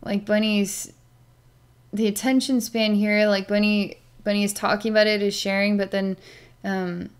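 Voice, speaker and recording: Middle-aged female animal communicator, medium pitch, meditative and halting, narrating a session as it unfolds. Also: little pitch movement, smooth. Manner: halting, meditative, with long pauses and sighs. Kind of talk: slow narration of a psychic session with pets, thinking aloud. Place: small plain room indoors.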